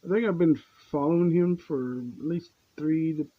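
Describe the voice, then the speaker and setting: A man's voice: a little high, moderate pace. A man hosting a reaction video with casual, off-the-cuff commentary and jokey asides. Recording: slightly dull sound, faint noise.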